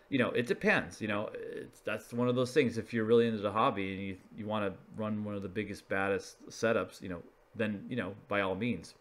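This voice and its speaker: A man's voice: fairly low pitch, a bit bright. A man presenting a hobbyist explainer in a casual, unscripted, conversational way, feeling his way through phrases as he talks over slides. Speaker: video presenter, radio hobbyist.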